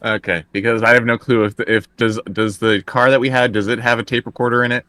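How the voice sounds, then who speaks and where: A man's voice: medium pitch, little pitch movement, quick pace, bright, forceful tone. A man chatting casually as a player in a tabletop game, hesitant and full of questions.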